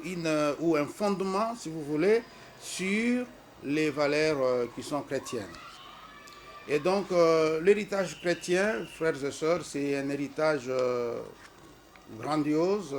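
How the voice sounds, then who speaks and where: Congolese man, middle-aged, slow hesitant speech with a preacher's emphasis, faint noise under the recording. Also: a little high. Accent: Congolese French. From Congo-Kinshasa. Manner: hesitant, many fillers. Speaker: school representative. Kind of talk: formal address presenting a school.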